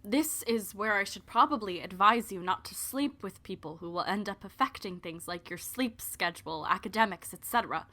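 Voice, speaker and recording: A young woman narrating a scripted audio drama in a measured storytelling way with wry asides. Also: medium pitch, pushed and bright. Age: young adult. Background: clear music.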